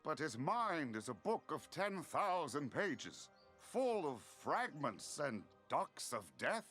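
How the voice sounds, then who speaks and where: An actor voicing a brooding, shapeless void entity in a fantasy game, with a halting, theatrical, musing delivery. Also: male voice, fairly high pitch, sing-song, fairly bright tone, heavily acted. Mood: eerie, musing. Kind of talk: scripted fantasy game dialogue. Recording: game audio over background music.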